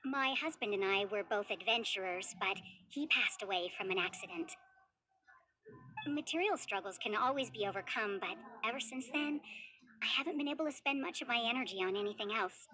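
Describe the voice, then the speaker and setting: An actress playing a gentle widowed mother in a game, content and quietly resilient, speaking in a calm, measured, reassuring way. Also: medium pitch, a little hiss or hum, rough recording. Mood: gentle, wistful. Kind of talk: scripted game dialogue.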